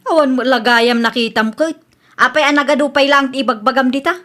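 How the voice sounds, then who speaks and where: A woman's voice: high voice, fairly even intonation, brisk, bright, forceful tone. A woman with an Ilocano Filipino accent acting a brash, evasive young housewife, defensive and dismissive, in a radio comedy drama.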